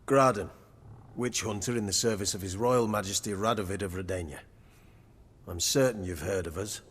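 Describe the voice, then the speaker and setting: An actor playing a condescending, zealous witch hunter in a video game, speaking formally and pompously in a rough voice. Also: lowish voice, normal speed, fairly bright tone, long reverb tail. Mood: smug, formal. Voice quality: husky, rough.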